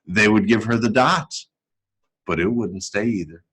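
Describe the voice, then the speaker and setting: A man reading a picture book aloud to schoolchildren as an educator, telling it expressively and deliberately. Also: lowish voice, fairly bright tone, slight background noise. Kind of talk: storybook read-aloud for children.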